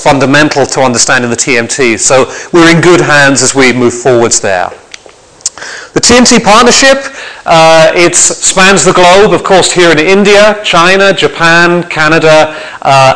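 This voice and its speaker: A man's voice: fairly high pitch, fairly bright tone. A man giving an academic presentation to an audience in a lecture hall, speaking conversationally with frequent restarts and repeated words. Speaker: visiting lecturer.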